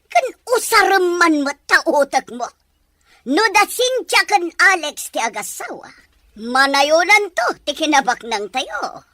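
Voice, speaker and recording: A woman's voice: sits high, big pitch swings, a little slow, sharp tone, no room sound, studio quality, exaggerated voice. A woman playing a haughty, scornful rich mother who scolds imperiously in a melodramatic audio drama. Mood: scheming, angry. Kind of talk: melodramatic radio-style drama acting.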